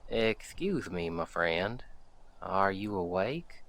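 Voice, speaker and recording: A man playing a friendly, gentlemanly Old West sheriff in a western audio roleplay, folksy and reassuring as he talks to the listener. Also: deep voice, big pitch swings, full character voice. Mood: reassuring, kindly. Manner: folksy, unhurried, reassuring cowboy talk.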